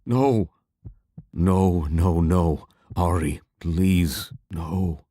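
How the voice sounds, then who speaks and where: A man acting as a young male adventurer in a fantasy audio drama. He has a deep voice and a serious, careful manner, recorded close and dry. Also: very slow, warm tone, no echo, heavily acted. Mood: shocked, pleading.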